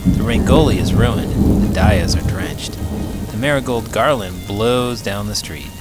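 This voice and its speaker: A man reading a children's storybook aloud in an expressive, dramatic way. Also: low voice. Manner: expressive, dramatic delivery with big emotions. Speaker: elementary school teacher reading children's books aloud. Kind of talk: animated storybook read-aloud.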